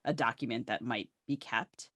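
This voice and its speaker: A woman's voice: a bass voice, moderate pace, no room sound. Woman podcast host, close dry sound. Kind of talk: conversational literary interview questions.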